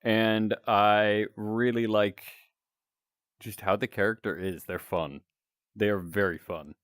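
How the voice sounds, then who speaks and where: Deep-voiced male streamer, slow and dry. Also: no room sound. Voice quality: deep announcer-like voice. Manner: relaxed, rambling, chatting to viewers. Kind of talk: casual chat while playing a game.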